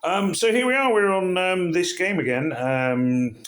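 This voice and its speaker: A hoarse, croaky man with a cold, giving rambling, weary commentary on a mobile game he is playing. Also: high-pitched, unhurried, fairly bright tone, a little hiss or hum. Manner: rambling, weary, self-deprecating. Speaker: game channel host. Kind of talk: casual gameplay commentary.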